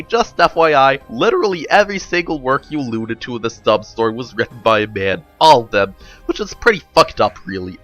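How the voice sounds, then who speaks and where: A man's voice: medium pitch, fairly bright tone, lo-fi sound. A man voicing a sardonic, blunt young woman who lectures knowingly in a dramatic storybook reading. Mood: sardonic, lecturing. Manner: wry, lecturing, profane.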